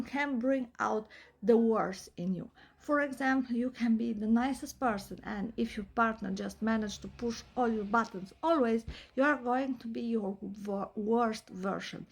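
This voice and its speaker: High-voiced Eastern European woman ranting. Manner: emphatic, animated, rhetorical questions. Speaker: vlogger. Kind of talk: opinionated commentary monologue.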